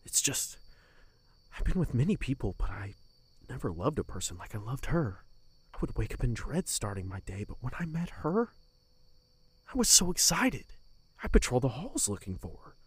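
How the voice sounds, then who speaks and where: Man acting a husky, heartbroken young captain. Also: medium pitch, sing-song. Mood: grieving, tender. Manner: hesitant, emotionally raw, halting. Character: heartbroken, guilt-ridden young captain, withdrawn and lovesick. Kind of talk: fantasy audio drama acting.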